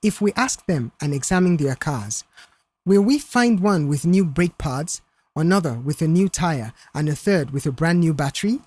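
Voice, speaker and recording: A narrator voicing a reserved young male mechanic in an audio story, speaking in a measured, firm and stern way. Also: mid-range voice, animated, darkish tone, dry recording, lightly acted. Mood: stern, accusing.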